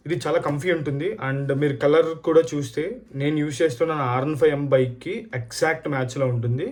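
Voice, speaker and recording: A man's voice: fairly high pitch, darkish tone. A man from India with a Telugu accent, talking casually to viewers as a vlogger and often mixing in English phrases. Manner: code-switches often between Telugu and English. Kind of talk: casual product review and storytelling to viewers.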